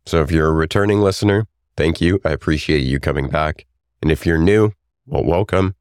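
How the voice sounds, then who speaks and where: A man hosting a solo podcast, reading his own essay in an earnest, reflective way. His voice is raspy and hoarse as he gets over an illness. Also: extremely low pitch, fairly quick, no room sound, clean studio sound. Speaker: podcast host.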